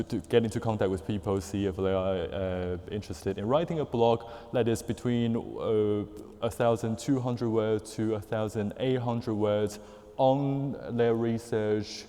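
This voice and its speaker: Man from taiwan, low and mellow, lots of uhs, echoey hall. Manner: hesitant, many fillers and restarts. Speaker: postdoctoral researcher, panelist. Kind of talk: academic panel talk.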